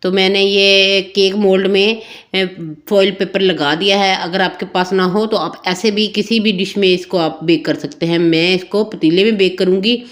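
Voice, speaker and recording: A woman with a Pakistani Urdu accent, a little hoarse, talking through a home cooking recipe in a homely, chatty step-by-step way. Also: medium pitch, fairly even intonation, normal speed. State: slightly hoarse throat. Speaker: cooking channel host. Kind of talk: home cooking tutorial voice-over.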